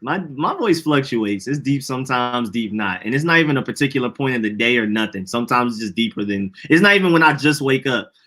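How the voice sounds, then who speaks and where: A man's voice: mid-range voice, expressive, brisk, hardly any pauses, sharp tone. A young man co-hosting a podcast in casual, joking chat, laughing often. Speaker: podcast co-host.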